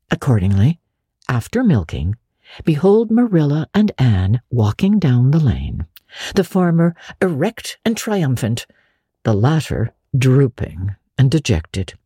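A woman's voice: deep voice, warm tone, husky, no room sound, studio quality. A woman narrating classic fiction as a dramatic audiobook reading.

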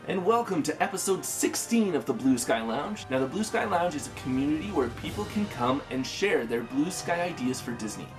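A man's voice: on the high side, expressive, fairly quick, few pauses, over music, rough recording. A man with a Canadian accent, speaking as the host of a podcast. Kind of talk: scripted intro and podcast interview.

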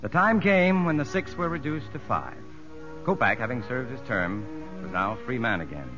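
An actor playing a firm, humane American prison doctor who also narrates, measured and educated, in 1950s radio drama on a muffled old broadcast. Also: male voice, medium pitch, animated, music in the background, close to an everyday voice. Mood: reflective.

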